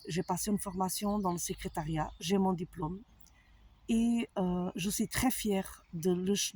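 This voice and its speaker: A woman with a foreign accent, a holistic therapist talking to her followers in a slow, halting way with many pauses and fillers. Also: medium pitch, darkish tone, breathy edge, no room sound. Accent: foreign accent in French. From abroad, not France. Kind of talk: personal monologue to followers.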